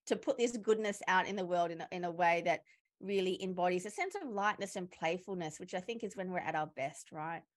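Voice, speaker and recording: A woman's voice: lowish voice, a little fast. A woman hosting a podcast interview, reflecting back and affirming her guest's words. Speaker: podcast host, coach. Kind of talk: interview conversation.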